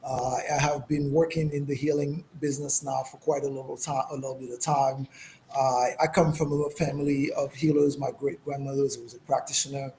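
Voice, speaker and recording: A man from Senegal speaking as a guest healer in a reflective interview, with halting, thoughtful phrasing. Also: mid-range voice, little pitch movement, darkish tone. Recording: clearly noisy background.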